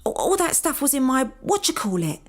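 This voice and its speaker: An actress playing an ancient female Viking warrior in a comic fantasy audio drama, blustering and halting, by turns grand and matter-of-fact. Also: high voice, sing-song. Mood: sheepish, chatty.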